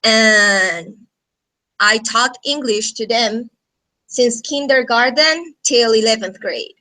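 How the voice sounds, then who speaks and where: A Colombian-accented woman in her thirties with a high, bright voice tells a class about herself as a guest teacher. She takes her time, speaking informally as a native Spanish speaker. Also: slow pace, poor audio. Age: 30s. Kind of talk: informal self-introduction to a class. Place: video call.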